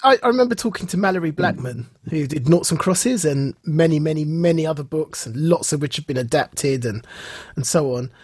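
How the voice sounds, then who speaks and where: A man's voice: fairly high pitch, lively intonation, fairly quick, darkish tone, breathy edge, dry recording. A man from England with a British accent, co-hosting a podcast and telling anecdotes conversationally, acting out reactions as he goes. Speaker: podcast co-host. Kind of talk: casual chat, telling anecdotes.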